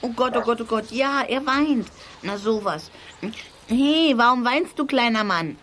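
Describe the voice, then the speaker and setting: Panicky, high-voiced little sprite. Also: female voice, expressive, measured pace, background noise. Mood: fretful. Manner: panicky, dramatic wailing. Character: tiny, anxious, fretful sprite sidekick. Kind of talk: children's radio play acting.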